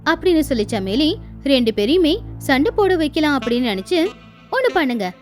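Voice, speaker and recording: A woman's voice: high-pitched, expressive, a little fast. A woman storyteller reading a scripted animal fable as narrator. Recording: music behind the voice.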